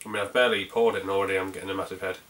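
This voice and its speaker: Bright-voiced North Yorkshire man reviewing beer. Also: low voice, little pitch movement, rapid-fire. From North Yorkshire, England. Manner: chatty and rambling, with frequent fillers and restarts. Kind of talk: casual video beer review.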